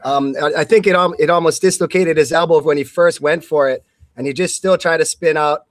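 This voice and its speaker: A man's voice: fairly high pitch, steady tone, brisk, dry recording, studio quality. A man doing live blow-by-blow radio commentary on a fight in short bursts.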